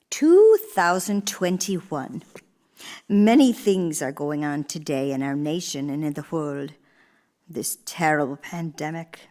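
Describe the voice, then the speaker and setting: Woman playing an elderly, genteel stateswoman, expressively lilting. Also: fairly low pitch. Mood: somber. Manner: measured, genteel, deliberate storytelling. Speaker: stage actress. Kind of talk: theatrical one-woman monologue. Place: live radio theater performance in a church.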